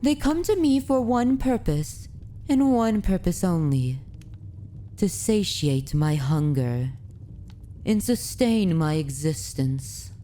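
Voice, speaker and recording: A woman playing an aristocratic vampire mistress in an ASMR roleplay, predatory yet consoling, speaking formally and deliberately in a soothing way. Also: on the low side, sing-song, dark tone, slight background noise. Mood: coolly menacing. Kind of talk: ASMR roleplay, scripted dramatic monologue.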